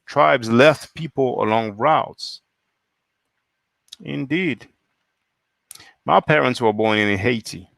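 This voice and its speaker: A man's voice: medium pitch, takes it slowly, gravelly, pauses often, fairly bright tone, dry recording, clean studio sound. A man from France hosting a solo live stream, reading viewer comments and asking pointed rhetorical questions.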